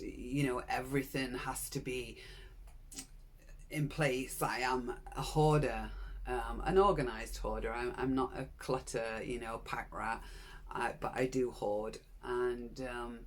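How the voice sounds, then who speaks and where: Frank woman in her sixties. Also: very deep voice. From Britain. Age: 60s. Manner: frank, earnest, encouraging. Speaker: channel host. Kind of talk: personal vlog talking to camera.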